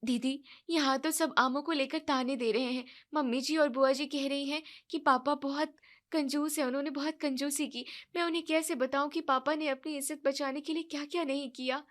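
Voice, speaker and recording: A woman voicing an anxious young newlywed bride who speaks softly and sounds hurt. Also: high voice, steady tone, moderate pace, pitched above the performer's own voice. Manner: soft, hurt, pleading.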